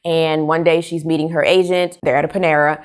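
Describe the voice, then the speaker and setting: A woman chatting casually to camera as a book reviewer, expressive and emphatic in a conversational way. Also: deep voice, fairly quick, clear, resonant tone. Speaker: book vlogger, host. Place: small furnished living room, indoors.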